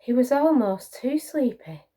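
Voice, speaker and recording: Animated, slow-paced woman reading to children. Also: high voice. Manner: encouraging, questioning, child-directed. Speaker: children's storyteller. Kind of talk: animated picture-book reading with asides to children.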